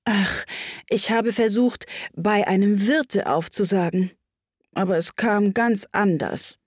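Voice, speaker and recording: A woman's voice: mid-range voice, sing-song, moderate pace, darkish tone, husky, dry recording, studio quality. A woman voicing a polite, earnest, confused young girl in a storybook, speaking a little hesitantly. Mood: downcast.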